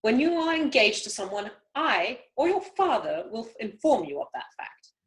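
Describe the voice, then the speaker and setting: A woman's voice: on the high side, very animated, normal speed. A woman playing an imperious, disapproving upper-class mother in an amateur play read-through, haughty and commanding, over a video call. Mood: scandalized, stern.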